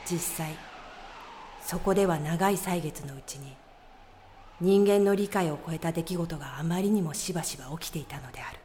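A female narrator delivers scripted drama narration with measured gravity. Her voice is on the low side and mellow, set over a faint bed of ambience. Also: a little hiss or hum.

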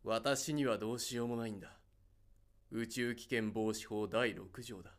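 A man's voice: fairly low pitch, steady tone, normal speed, natural voice. Man acting a stern spaceship captain. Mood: stern, official. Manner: curt, commanding, official. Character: stern, rule-bound male spaceship captain, exasperated. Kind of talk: audio drama acting.

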